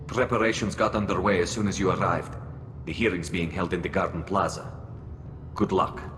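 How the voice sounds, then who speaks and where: A man playing a grave, sympathetic middle-aged alien ship captain in a video game, formal and measured, through a helmet filter. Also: low-pitched, fairly even intonation. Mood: official. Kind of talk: video game cutscene acting. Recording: reverberant hall, noisy ambience behind.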